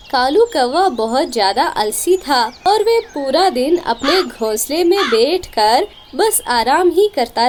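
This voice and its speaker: High-pitched woman narrating a children's story. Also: a little hiss or hum.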